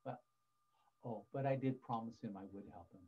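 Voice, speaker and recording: A man voicing a tiny, loyal mouse in a children's story, soft-spoken and plucky. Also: mid-range voice, normal speed, husky, lo-fi sound, pitched below the performer's own voice, lightly acted. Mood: hesitant.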